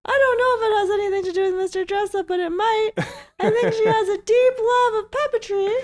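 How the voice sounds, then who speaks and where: A woman voicing a cheeky, chatty walrus puppet made of wool, in a very high, chirpy voice. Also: steady tone, a little fast. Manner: chatty, playful, self-promoting. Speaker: co-host's puppet. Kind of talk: comedic puppet bit.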